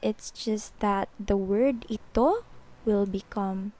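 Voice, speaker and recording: Slow, patient woman teacher. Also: medium pitch, a little mellow. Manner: patient, repeats example phrases slowly. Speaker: language teacher. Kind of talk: slow explanatory language lesson.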